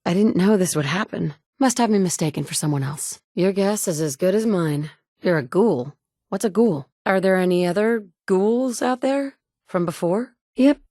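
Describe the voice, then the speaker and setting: A wry woman survivor from before the war, as an actress voices her, dry and sardonic with flashes of care. Her voice sits low and comes through a close studio mic with a dry, roomless sound. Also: normal speed, mellow, dry recording, close to an everyday voice. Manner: dry and sardonic, shifting between blunt and caring. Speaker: player character. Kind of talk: game dialogue lines.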